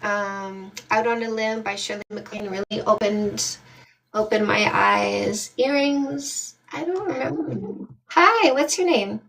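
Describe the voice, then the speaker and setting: A woman's voice: medium pitch, slow, creaky, fairly bright tone, recorded from a distance, rough recording. A woman dating coach from Canada hosting a live stream, reading viewer comments aloud in an upbeat, affectionate way.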